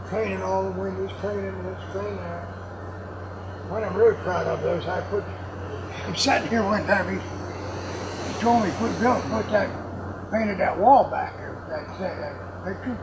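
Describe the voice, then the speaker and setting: A man's voice: sits high, moderate pace. Older man telling stories over background noise. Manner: folksy, salty, laughs while telling stories. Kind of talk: rambling casual anecdote telling. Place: indoors in a warehouse with block walls. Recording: clearly noisy warehouse room ambience.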